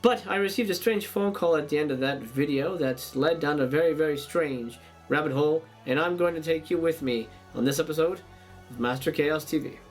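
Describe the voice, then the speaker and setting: Male web host, slightly high voice, theatrical rambling monologue, faint music underneath. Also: a little fast. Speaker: YouTube show host. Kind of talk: casual monologue to camera.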